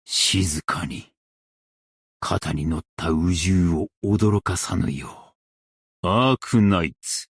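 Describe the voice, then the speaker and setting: A man playing a principled, stern yet merciful scholar-strategist in a game, speaking in a formal, archaic and measured way. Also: low voice, slightly rough, dry recording, clean studio sound, heavily acted. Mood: quiet, reflective. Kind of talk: Japanese game character voice lines.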